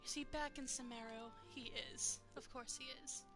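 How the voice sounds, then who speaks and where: A young woman with a soft voice, chatting casually and laughing as she streams a video game. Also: fairly high pitch, steady tone, moderate pace. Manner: rambling, chatty, laughs often. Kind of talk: casual gameplay commentary. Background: game music.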